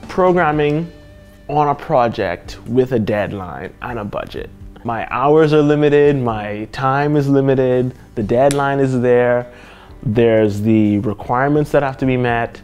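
A young man speaks with animated emphasis and occasional uhs. His voice sits in a mid range. He is on a lapel mic in a studio, with faint music underneath. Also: medium pitch, a little slow, smooth, dull audio. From Bahamas. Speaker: programmer. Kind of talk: testimonial interview. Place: studio with dark backdrop.

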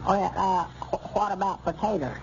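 High-voiced dim old Southern country storekeeper. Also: male voice, steady tone, vocal fry. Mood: puzzled. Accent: rural Southern US. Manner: folksy, literal-minded, halting. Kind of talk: 1940s radio comedy acting. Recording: muffled, noisy old radio recording.

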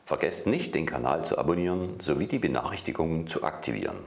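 A man giving a channel outro voice-over with a very deep, gravelly voice. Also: expressive, quick pace, reverberant.

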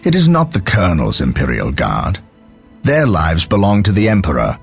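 A man playing a commanding, suspicious space soldier captain, husky and formal, in a game cutscene. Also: low-pitched, huge pitch swings, moderate pace, faint music behind, heavily acted. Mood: indignant. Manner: clipped, authoritative, formal. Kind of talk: dramatic game cutscene acting.

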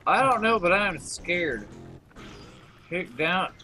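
An excitable co-op gaming partner chatting casually and blurting out short exclamations during play. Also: mid-range voice, slow, soft background music, low-quality recording. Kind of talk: casual gameplay chat.

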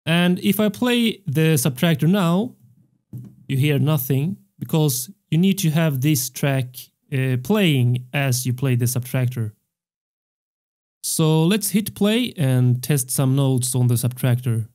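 A young man hosting a music production tutorial, explaining step by step in a measured way into a close studio mic. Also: a little high, expressive, darkish tone. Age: young adult. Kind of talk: tutorial narration. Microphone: close studio microphone on a stand.

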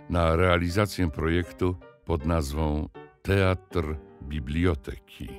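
Slow-speaking male announcer with a low voice. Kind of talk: scripted closing announcement.

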